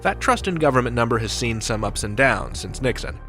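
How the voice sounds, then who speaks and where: A man hosting a drama podcast, reading a smooth, scripted narration and outro. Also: mid-range voice, expressive, fairly quick. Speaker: podcast host. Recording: music under the voice.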